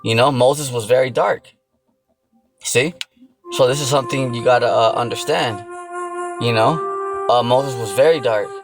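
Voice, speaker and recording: A man's voice: medium pitch, normal speed, pauses often. A man giving an informal sermon, repeating himself and often checking in with the listener. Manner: repetitive, filler-heavy, keeps checking in with "okay" and "you know". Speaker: religious teacher. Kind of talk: informal preaching. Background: music.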